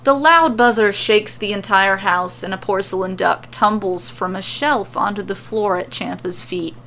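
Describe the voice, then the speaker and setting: A woman storyteller reading scripted fiction in an expressive narration style. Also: medium pitch, gravelly, slight background noise, low-quality recording.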